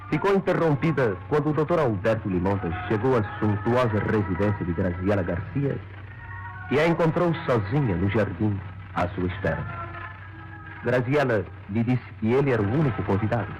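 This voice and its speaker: A man's voice: lowish voice, expressive, moderate pace, a little mellow, soft background music, rough recording. A man narrating a vintage radio soap opera in a dramatic storytelling way, from an old archival radio recording.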